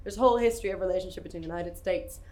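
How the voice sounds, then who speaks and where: A woman's voice: fairly low pitch, rapid-fire, mellow. A woman from Puerto Rico, a guest at a live talk, chatting to the audience in a wry, joking way. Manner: wry, joking and self-deprecating. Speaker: panel guest.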